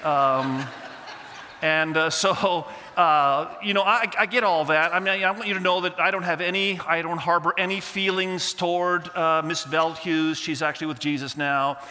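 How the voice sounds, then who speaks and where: A man's voice: fairly high pitch, moderate pace, in a large hall. A man who grew up in Indonesia, with Indonesian as his first language, telling a funny story from his school days as part of a sermon. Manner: animated, humorous storytelling. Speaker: pastor.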